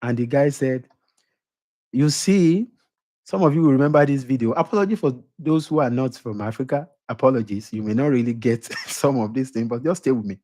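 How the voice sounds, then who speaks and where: A man's voice: mid-range voice, fast talker, hardly any pauses, dark tone. A middle-aged man with a Nigerian accent giving an emphatic motivational lecture, repeating his points and putting questions to the audience. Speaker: motivational speaker.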